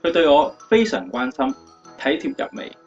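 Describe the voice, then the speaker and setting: A man plays an affectionate young husband speaking Cantonese with very animated pitch. His delivery is heartfelt radio drama acting, slightly muffled, with faint music underneath. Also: normal speed, vocal fry, exaggerated voice. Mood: touched, grateful. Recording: slightly dull sound.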